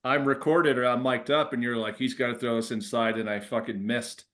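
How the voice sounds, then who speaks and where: A man with a bright, punchy voice recounts casual stories over a video call. He swears easily and slips into quoting other people as he tells them. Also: mid-range voice, a little fast. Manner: animated, profane, quotes others' lines. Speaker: former baseball pitcher. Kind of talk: casual anecdote telling among old teammates.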